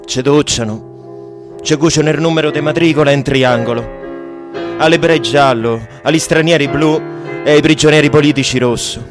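An actor playing a frightened, worn man deported to a wartime camp, with a Roman accent, in halting, bitter radio drama storytelling. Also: fairly high pitch, lightly acted. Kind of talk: radio drama monologue and dialogue. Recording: music under the voice.

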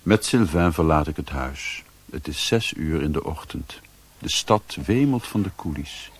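An actor playing a reflective narrator in a radio drama, husky, measured, sombre and detached. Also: male voice, extremely low pitch. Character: reflective male narrator recounting life abroad.

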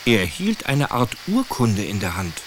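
A man's voice: lowish voice, huge pitch swings, a little slow, noisy background. A man narrating a scripted children's story in a radio drama as an observant storyteller.

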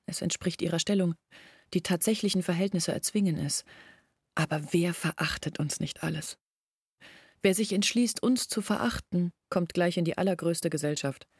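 A woman playing an embittered young villager who confides her family's disgrace in an earnest, measured way in a radio drama. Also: on the low side, slightly rough, no room sound, clean studio sound. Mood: bitter, earnest. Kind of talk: intimate literary radio drama storytelling.

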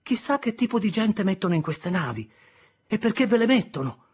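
A man's voice: high voice, sing-song, fairly quick, slightly rough, pitched above the performer's own voice, full character voice. A man voicing a curious, timid young boy who keeps asking questions, in an eager, questioning way.

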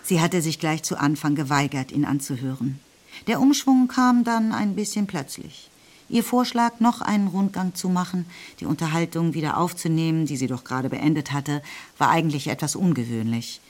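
A woman's voice: sits low, lively intonation, normal speed, a little mellow. A woman giving composed, slightly wry literary narration for a German radio play. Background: music.

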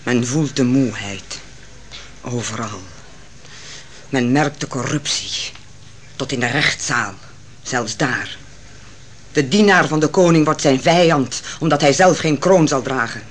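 A man's voice: mid-range voice, unhurried, long pauses, fairly bright tone, close to an everyday voice. A man playing a weary, brooding royal in a Dutch radio drama, troubled by omens. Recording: noisy old radio drama recording.

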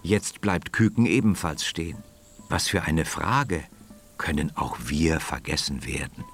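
A man's voice: rumbling low, a little slow. A man narrating a scripted children's radio story as its storyteller. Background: music.

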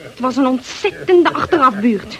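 High-voiced indignant young lady. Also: lively intonation, normal speed, a bit bright. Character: indignant young lady, put-upon friend. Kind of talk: radio play acting.

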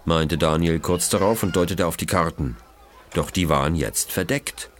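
A man's voice: low voice, moderate pace. A man reading scripted narration for a children's detective story, as its narrator.

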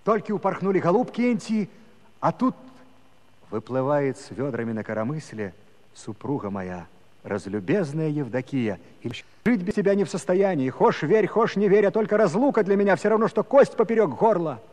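A man's voice: fairly high pitch, animated, moderate pace, darkish tone, close to an everyday voice. An actor playing a talkative married Cossack villager, telling his story in folksy rustic dialect for a musical comedy. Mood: rueful, animated. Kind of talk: theatrical narration in a musical comedy. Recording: long hall reverb.

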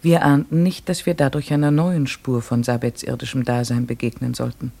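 An actor playing a gentle village schoolteacher who narrates a radio drama in a measured, reflective way. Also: male voice, mid-range voice, flat delivery, vocal fry.

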